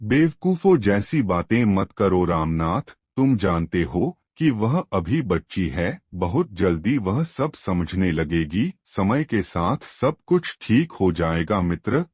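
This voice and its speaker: Guy doing an emphatic old pal in a cartoon story, husky, really slow delivery. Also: low voice, very animated, a little mellow, no echo, full character voice. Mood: scolding, reassuring. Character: blunt, reassuring old family friend. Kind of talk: Hindi cartoon moral story acting.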